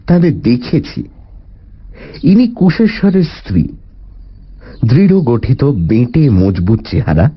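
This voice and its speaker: Male narrator, highish voice, hugely varied intonation, expressive storytelling, dramatic and deliberate. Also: muffled recording. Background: faint music.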